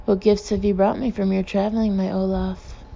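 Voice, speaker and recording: Woman doing a gushing foreign-accented fortune-teller, gravelly edge. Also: mid-range voice, little pitch movement, normal speed, mellow, lo-fi sound. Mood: coaxing. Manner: broken English with odd word order. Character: gushing, pleading female carnival fortune-teller, theatrical foreign English.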